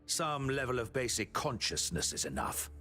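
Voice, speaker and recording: An actor playing an obsessive, callous puppet-maker villain in a video game, theatrical, swinging between musing and menace. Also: male voice, medium pitch, sing-song, normal speed, bright, forceful tone, faint music behind. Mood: cold, commanding.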